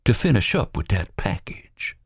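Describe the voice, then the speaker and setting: A man voicing a tough, irritable farmhand who talks gruffly. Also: lowish voice, very animated, moderate pace, dry recording, studio quality, voice pushed up, full character voice. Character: tough male farmhand, irritable and hard-edged.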